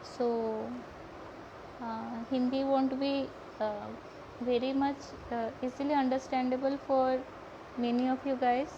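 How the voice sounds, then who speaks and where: High-voiced young Indian woman, noisy lo-fi audio. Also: little pitch movement, measured pace. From Odisha, India. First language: Odia. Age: young adult. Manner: hesitant, stumbling, repeats words. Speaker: art live-stream host and painting teacher. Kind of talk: casual live painting tutorial with chat. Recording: dull lo-fi audio with heavy background noise.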